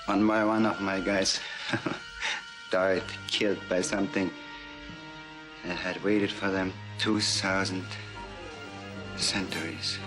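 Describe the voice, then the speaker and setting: An actor playing a haunted, dying expedition survivor in a horror film, with a weak, breathy, halting voice. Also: male voice, low voice, level tone, low-quality recording. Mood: haunted dread. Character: dying haunted male expedition survivor warning of a lurking killer. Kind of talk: science fiction horror film acting. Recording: film audio with loud music.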